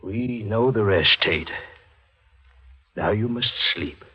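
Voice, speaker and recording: An actor playing a learned psychiatrist in a 1940s radio drama, speaking in a measured, formal, clinical manner. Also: male voice, fairly low pitch, pushed and bright, husky, soft background music, exaggerated voice. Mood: clinical, calm.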